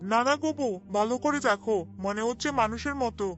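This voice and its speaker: Really high villager voice, curious n wary, cartoon, kinda muffled w music. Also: male voice, a little fast. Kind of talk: cartoon village drama acting.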